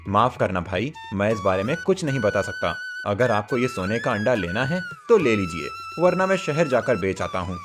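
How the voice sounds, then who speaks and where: A man's voice: on the low side, very animated, normal speed, few pauses, over music. A man voicing an honest, hopeful village husband and father in a cartoon story, earnest and plain-spoken. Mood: guarded.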